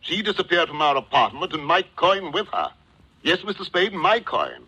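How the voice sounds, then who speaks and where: Pompous, husky gentleman villain. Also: fairly high pitch, sing-song, moderate pace, muffled recording, full character voice. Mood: pompous, insistent. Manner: pompous, formal, chuckling, says "sir" constantly. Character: wily, jovial, florid fat-cat gentleman villain posing as coin dealer. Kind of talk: 1940s radio acting. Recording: old 1940s radio recording.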